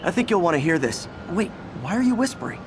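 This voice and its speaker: An actor playing a friendly, earnest young American superhero in a video game, talking in a casual, slightly awkward way. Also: male voice, high-pitched, very animated, fast, noisy background. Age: young adult. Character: earnest, friendly young male superhero juggling everyday life.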